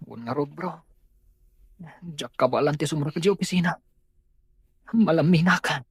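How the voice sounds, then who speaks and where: A man with a Filipino accent playing a weak, ill young man with a husky, faint voice in an audio drama. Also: on the high side, normal speed, dry recording. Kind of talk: Ilocano audio drama acting.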